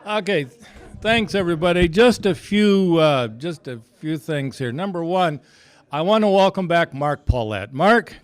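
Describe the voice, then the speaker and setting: A man chairing a club meeting with informal announcements, speaking in a halting, folksy way. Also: on the high side, animated, a faint crowd.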